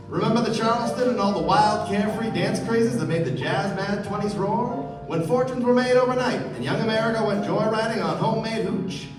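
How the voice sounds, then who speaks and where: A man's voice: high voice, lightly acted. A man playing a genial old-time radio announcer, welcoming listeners with formal, theatrical showmanship. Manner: theatrical, formal, projected delivery. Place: stage of a small theatre. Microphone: far camera. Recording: echoey theatre from far off, music behind.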